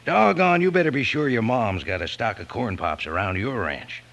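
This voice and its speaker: A man's voice: lowish voice, expressive, normal speed, gravelly, a bit bright. A man delivering a hearty, folksy cowboy-style 1950s radio advertisement aimed at children. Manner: folksy, hearty cowboy talk addressed to kids. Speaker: commercial announcer.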